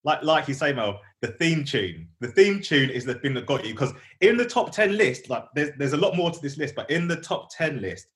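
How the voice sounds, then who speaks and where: A man's voice: a little high, expressive, normal speed, few pauses, fairly bright tone. A man, co-hosting a podcast with casual, slangy banter. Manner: informal, slangy. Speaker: podcast co-host.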